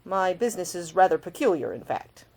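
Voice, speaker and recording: A woman plays a roguish gentleman of leisure in an audiobook. He is suave and cheerfully teasing, with formal phrasing and an amused drawl. The intonation swings in a lively way. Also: fairly low pitch, fairly quick. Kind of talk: audiobook character dialogue.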